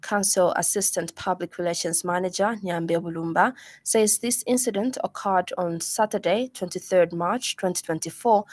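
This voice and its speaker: Lady reading the evening radio news, formal, normal pitch. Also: a little mellow, dry recording.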